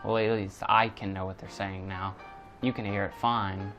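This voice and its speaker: A man's voice: on the low side, level tone, normal speed, a bit bright, soft background music. A man giving casual, rambling commentary while he plays a video game. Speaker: gamer recording a playthrough.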